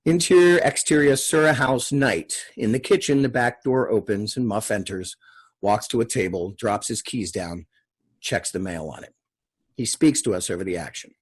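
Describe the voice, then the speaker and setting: A man's voice: lowish voice, normal speed, breathy edge. A man hosting and reading stage directions at a screenplay table read, with casual delivery. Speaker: host and stage-direction narrator. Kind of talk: scripted screenplay narration and casual introductions.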